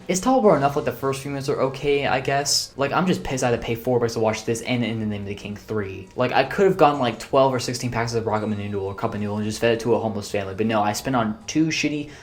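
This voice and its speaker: A young man giving a blunt, sarcastic movie ranking commentary, often exasperated. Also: mid-range voice. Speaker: movie reviewer.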